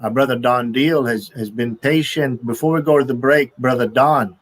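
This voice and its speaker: A man's voice: mid-range voice, normal speed. Polite male talk-show host. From half Iraqi, half American. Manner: brief agreeing interjections, polite. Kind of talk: hosting a studio discussion.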